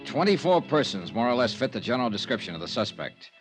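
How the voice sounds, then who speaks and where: Male actor as hard-boiled insurance investigator, terse and dry, medium pitch, music underneath, vintage radio drama. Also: very animated, fast, lightly acted, low-quality recording. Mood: businesslike, dry. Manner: clipped, matter-of-fact. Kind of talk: 1950s radio detective acting and narration.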